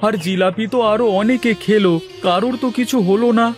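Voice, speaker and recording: Man voicing a timid, high-pitched cartoon shopkeeper. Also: brisk, faint music behind, lightly acted. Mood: pleading. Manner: anxious, polite, pleading. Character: timid, honest village sweet-shop seller. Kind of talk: cartoon moral-story dialogue.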